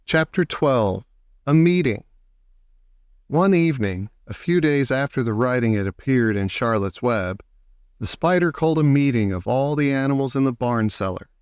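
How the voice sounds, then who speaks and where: A man narrating a children's story from a script in a measured, clearly worded way. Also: medium pitch, expressive, dry recording. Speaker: audiobook narrator.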